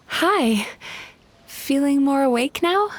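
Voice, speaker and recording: A woman voicing a gentle, apologetic young female dream-eating spirit in a video game, polite and earnest with soft hesitations. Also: sits high, animated, takes it slowly, no room sound. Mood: friendly, explaining. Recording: music behind the voice. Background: game music.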